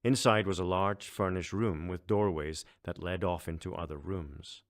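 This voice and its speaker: A man's voice: low-pitched, fairly even intonation, normal speed. A man reading scripted fiction as an audiobook narrator.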